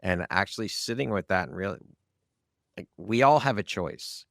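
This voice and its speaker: A man hosts a conversational podcast with a fairly low voice and a lot of gravelly fry. He is candid and informal. The sound is very dry, with no room around it. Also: expressive, normal speed, fairly bright tone, dry recording. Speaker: podcast host.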